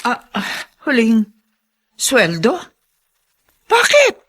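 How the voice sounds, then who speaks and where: An actress playing a worried, insistent wife, anxious and pleading, in a radio drama. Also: female voice, on the high side, wildly swooping pitch, extremely slow, fairly bright tone, breathy edge, full character voice. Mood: alarmed.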